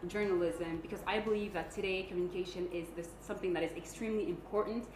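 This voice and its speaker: Young woman from Canada, lower voice, pageant self-introduction, echoey room, faint background noise. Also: fairly even intonation, fast talker. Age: 20s. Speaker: pageant contestant. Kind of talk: self-introduction on a live stream.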